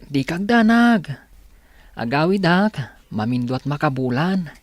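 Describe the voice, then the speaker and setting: A man playing an earnest, polite young student and dutiful older brother in a radio drama, speaking gently. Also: a little high, very animated, a little slow, no echo. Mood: caring, polite.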